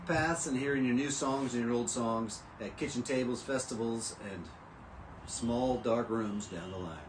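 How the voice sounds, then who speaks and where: A man's voice: medium pitch, measured pace, echoey room, background noise. A singer-songwriter host at his kitchen table, chatting casually between songs in an easygoing, rambling way. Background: guitar.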